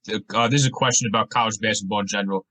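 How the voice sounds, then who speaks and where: A man's voice: fairly low pitch, fairly even intonation, rapid-fire, pushed and bright, no room sound. A man hosting a sports podcast, asking conversational interview questions with long, detailed lead-ins.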